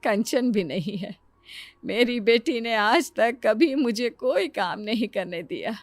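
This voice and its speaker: A woman voicing a caring middle-aged mother in a cartoon moral story, coaxing and gently insistent. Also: fairly high pitch, animated, slow pace, dry recording, soft background music. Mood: weary.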